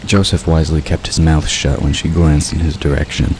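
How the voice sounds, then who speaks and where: A man's voice: rumbling low, little pitch movement, brisk, darkish tone, a little hiss or hum. A man reading scripted fiction narration as an audiobook narrator, in a storytelling style. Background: outdoor ambience and water sound effects.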